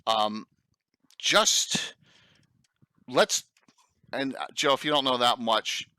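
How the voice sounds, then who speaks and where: A man's voice: mid-range voice, takes it slowly, pushed and bright, smooth, no echo. A man with a Philadelphia accent hosting a casual livestream chat, eager and rambling with lots of fillers. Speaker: host.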